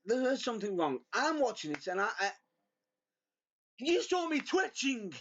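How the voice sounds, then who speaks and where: A man's voice: very high-pitched, sing-song, moderate pace, a bit bright. A British man co-hosting a casual wrestling chat, given to theatrical, exasperated outbursts. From Britain. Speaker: podcast co-host. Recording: dull lo-fi audio.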